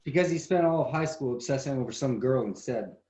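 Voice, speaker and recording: A man's voice: medium pitch, lively intonation, brisk, dark tone, lightly acted, low-quality recording. A man reading a mouthy, mocking teenage boy at a party in a table read.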